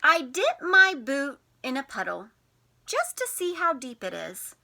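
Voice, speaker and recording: A woman teacher reading a picture book to young children in an expressive, sing-song way, putting questions to them. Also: sits high, measured pace, gravelly. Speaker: teacher and storytime host.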